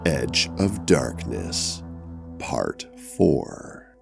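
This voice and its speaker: Super deep gravelly guy hosting a tabletop horror podcast, slow talker, music underneath. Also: sing-song. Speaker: podcast host and tabletop player. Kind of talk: scripted horror intro narration, then casual roleplay.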